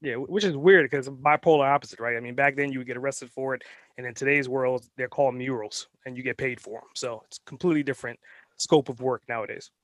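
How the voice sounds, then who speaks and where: A man's voice: medium pitch, steady tone, quick pace, dry recording. Man telling his story, dry sound. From born in Trinidad and Tobago, raised in New York. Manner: rambling, anecdotal, many fillers. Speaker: guest entrepreneur. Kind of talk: casual interview storytelling.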